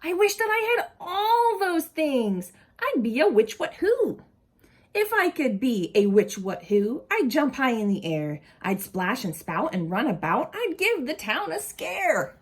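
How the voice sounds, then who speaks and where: Woman, higher-pitched and slow-paced, for an animated children's read-aloud with simple questions to young listeners. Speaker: early childhood educator reading aloud. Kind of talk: expressive picture-book read-aloud with asides to young children.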